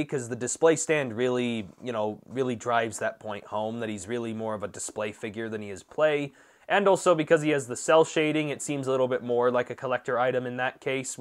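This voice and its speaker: A man giving a casual, conversational action figure review as the channel host, with emphatic swings between points. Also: medium pitch. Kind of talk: casual product review commentary.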